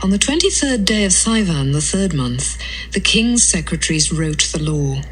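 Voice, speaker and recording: A woman's voice: fairly low pitch, very animated, normal speed, a bit bright, faint music behind, rough recording. A woman narrating a scripted, dramatised Bible reading.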